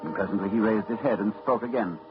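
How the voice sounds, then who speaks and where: An actor playing a learned librarian-doctor who narrates his ordeal in measured, dramatic tones in an old radio play. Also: lowish voice, lightly acted. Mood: narrating. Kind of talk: 1940s radio acting. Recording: dull old radio recording with music score.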